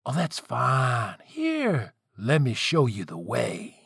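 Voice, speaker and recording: A man puts on a giant fire spirit, the smug bad guy of a storybook myth. His delivery swings widely in pitch and drips with sarcasm. It is recorded dry. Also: wildly swooping pitch, takes it slowly, creaky, a bit bright, breathy edge, dry recording, full character voice. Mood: menacing sarcasm. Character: massive sardonic fire spirit, villainous taunting father.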